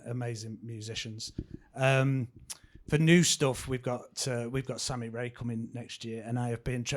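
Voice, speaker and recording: A man on a panel talking about the musicians he books, in a rambling way with lots of restarts. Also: mid-range voice. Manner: rambling, many fillers and restarts. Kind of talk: casual panel talk. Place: panel event in a city venue.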